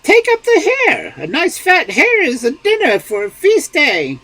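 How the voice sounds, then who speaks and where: A voice performing a nagging old peasant wife in a storybook reading, with a shrill, exclamatory manner. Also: female voice, huge pitch swings, a little slow, a bit bright, no echo, exaggerated voice. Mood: scolding.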